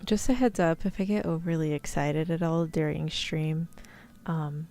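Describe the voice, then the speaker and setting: Casual woman streamer with some vocal fry. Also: sits low, moderate pace, mellow. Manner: informal, mild swearing, rambling. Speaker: VTuber livestream host. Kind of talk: casual livestream chat greeting viewers.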